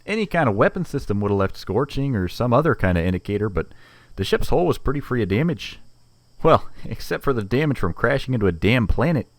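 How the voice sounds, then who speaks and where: A man playing a wry, casual mercenary engineer in a sci-fi audio drama, talking in a conversational, animated way. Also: medium pitch, fast talker, no echo. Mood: wry, analytical.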